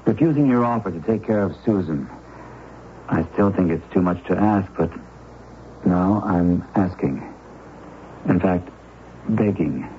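Husband role: earnest, remorseful young man, low, tinny radio sound. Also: expressive, unhurried, long pauses. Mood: pleading. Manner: earnest, pleading. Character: guilt-ridden young husband, earnest and kind. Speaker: supporting character. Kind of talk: 1970s radio drama acting. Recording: tinny radio broadcast with faint hiss.

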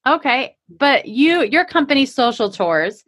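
A woman's voice: high voice, big pitch swings, a little slow, fairly bright tone. A woman speaking as a podcast host in a casual, chatty interview style.

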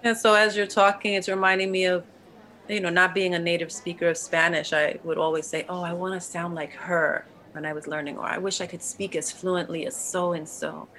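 A woman's voice: fairly low pitch, little pitch movement, fairly quick, fairly bright tone. A woman interviewing a guest in a curious, engaged way. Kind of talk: conversational interview.

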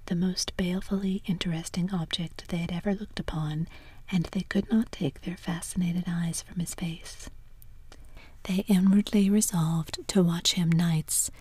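A woman narrating a classic story entirely in a soft whisper. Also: fairly low pitch, husky, dry recording. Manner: soft unvoiced whisper throughout.

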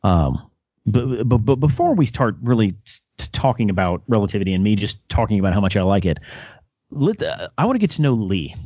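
A man interviewing as a podcast host in a casual, halting style, often repeating words as he goes. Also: on the low side, sing-song, darkish tone, breathy edge, no room sound, highs cut off.

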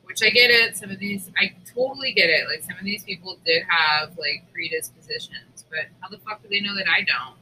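A woman with a sharp, very bright voice pitched slightly low talks casually and candidly, as if venting to a close co-host. Her delivery is informal and unfiltered, with noticeable noise behind the recording. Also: a little fast, hardly any pauses, poor audio. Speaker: podcast co-host.

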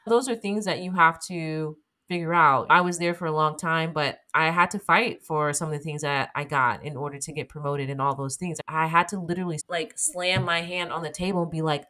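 A woman's voice: low voice, fairly even intonation, brisk, few pauses, pushed and bright. A woman guest talking in a candid, emphatic way in a casual interview, swearing freely.

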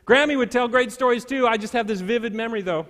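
A male pastor with a high voice preaches in a large church hall with long reverb. He moves between scripture and storytelling, using deliberate pauses and dramatic emphasis. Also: a bit bright. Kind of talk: sermon with scripture reading and storytelling.

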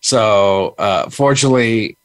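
A man chatting casually as co-host, halting and hesitant with many pauses and "uh". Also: on the low side, no echo. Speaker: co-host, host's husband. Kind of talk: casual chat.

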